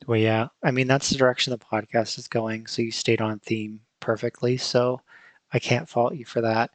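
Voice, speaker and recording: A man hosting a casual, unscripted podcast chat, thinking aloud with pauses and restarts. His voice is mid-pitched and the recording is close and dry. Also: little pitch movement, dry recording. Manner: thoughtful, hesitant, with many fillers and restarts. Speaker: podcast host.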